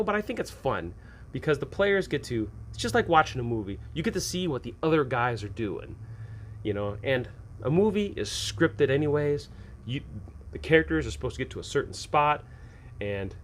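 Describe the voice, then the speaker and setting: Casual man giving off-the-cuff advice. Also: medium pitch. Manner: conversational, rhetorical questions answered by himself. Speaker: host of a game master advice show. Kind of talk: casual unscripted advice monologue.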